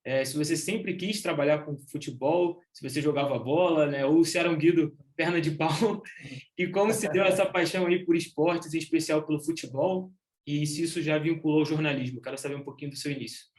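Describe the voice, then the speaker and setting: A man's voice: a little high, steady tone, brisk, a little mellow. A man with a Brazilian accent hosting a podcast, introducing a guest and asking questions conversationally. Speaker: podcast host, interviewer.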